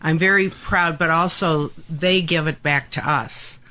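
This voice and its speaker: Theatre volunteer, man with a slightly high voice, eager and praising. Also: steady tone, measured pace. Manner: warmly enthusiastic, praising. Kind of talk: casual interview chat.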